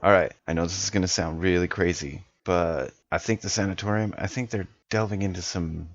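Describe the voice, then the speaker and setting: A man's voice: low voice, steady tone, a little fast, no room sound. A man playing a tabletop role-playing game, informal and reactive, often thinking aloud, recorded in a home studio. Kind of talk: casual improvised tabletop play. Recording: dry, slightly dull home studio sound.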